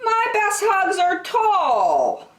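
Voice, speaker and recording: A small, earnest bear cub from a picture book, acted out by a woman. The voice is pitched high and swoops plaintively, stretching words like a child longing for comfort. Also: huge pitch swings, very slow, roomy sound, exaggerated voice. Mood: dreamy, proud.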